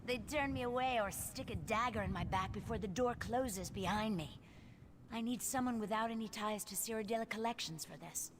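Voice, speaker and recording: A woman's voice: fairly high pitch, fairly quick, a little hiss or hum, natural voice. Woman playing an earnest, purposeful antiquities collector. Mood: businesslike, wary. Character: purposeful female antiquities collector organising expeditions. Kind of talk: video game character dialogue.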